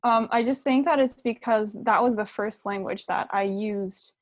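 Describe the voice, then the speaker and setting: A woman's voice: mid-range voice, normal speed, dry recording. Casual young woman, dry close sound. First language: Japanese and English. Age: young adult. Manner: informal, frequent "like". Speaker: podcast co-host. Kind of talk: casual chat.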